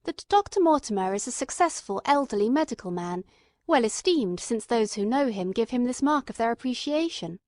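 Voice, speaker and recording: A woman voices an earnest, eager male companion telling a detective story. The voice is fairly high, with careful, literate audiobook phrasing. The recording is dry, with hardly any room sound. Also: expressive, normal speed, a little mellow, no echo, close to an everyday voice. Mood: earnest, reasoning. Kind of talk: scripted audiobook narration.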